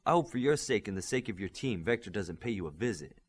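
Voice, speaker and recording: A bitter older commander, acted by a male reader in an audiobook. He is curt and condescending, with a slightly husky voice and lively pitch. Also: fast. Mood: condescending anger. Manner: curt, questioning.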